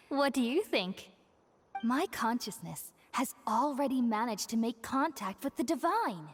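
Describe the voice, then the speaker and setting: An eager, dreamy young mystic scholar from a video game. The voice is high and melodic, swinging widely with reverent enthusiasm. Faint music sits underneath. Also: female voice, sing-song, a little slow. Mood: rapturous. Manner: effusive, reverent.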